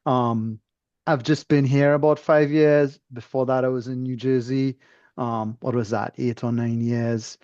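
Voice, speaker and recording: A man's voice: medium pitch, level tone, a little slow, darkish tone, no room sound. A Trinidadian man musing discursively as a podcast guest, heard through slightly muffled, very dry audio. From Trinidad and Tobago. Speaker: interview guest, engineer. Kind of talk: conversational, reflective interview.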